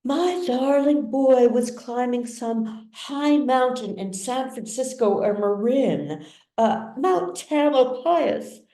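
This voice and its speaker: A woman's voice: fairly high pitch, gravelly, dark tone, voice pushed up, full character voice. A woman voicing a melodramatic, affected middle-aged society mother in a storybook reading, gushing and tearful. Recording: roomy echo, distant mic.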